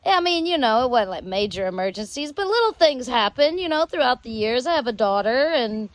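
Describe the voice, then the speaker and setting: An American woman telling rambling personal stories in drawn-out, halting phrases with long pauses. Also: fairly high pitch, big pitch swings, dry recording. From United States. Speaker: storyteller host.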